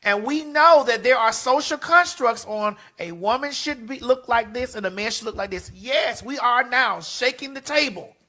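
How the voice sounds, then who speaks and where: A woman in her forties with a bright, edgy voice. She speaks bluntly and emphatically on a casual podcast, swearing and repeating phrases to drive her point home. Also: fairly high pitch, moderate pace, hardly any pauses. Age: 40s. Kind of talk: casual opinion chat.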